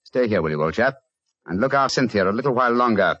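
A man's voice: fairly low pitch, animated, quick pace, fairly bright tone, dry recording. Incisive British male detective, theatrical and polite, for radio drama; dry, dull vintage sound. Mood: brisk, excited. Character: incisive, self-assured English male detective. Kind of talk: old-time radio drama acting.

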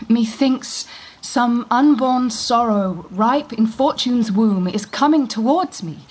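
A woman's voice: a little high, darkish tone. A woman playing a melancholy queen in a Shakespeare audio drama, brooding on a coming grief. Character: the sorrowful young Queen.

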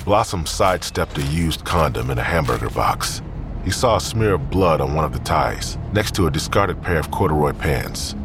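A man narrating a crime drama in a grave, measured tone. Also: very deep voice, expressive, noisy background. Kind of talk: scripted narration.

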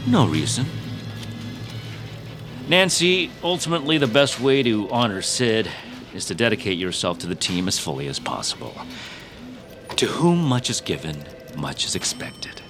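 An actor playing a self-important, manipulative tech CEO, smoothly condescending with theatrical pauses, in an audio drama. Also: male voice, fairly low pitch, sing-song, music in the background. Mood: quietly menacing.

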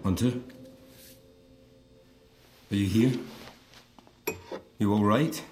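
A man's voice: fairly low pitch, extremely slow, darkish tone. An actor playing a troubled older man pressing for answers in an audio drama. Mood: concerned, questioning. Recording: echoey room, faint music.

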